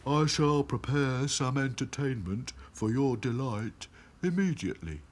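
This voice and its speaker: A man voicing Sad Sack, a timid little toy doll who speaks meekly in a slow, breathy voice. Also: mid-range voice, animated, slight background noise, lightly acted. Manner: meek and slow.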